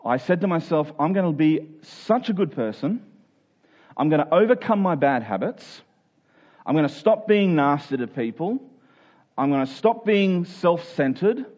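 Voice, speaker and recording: A man's voice: fairly high pitch, darkish tone, dull audio. A man preaching a conversational, expository Bible sermon, explaining step by step, repeating key phrases and checking in with his listeners.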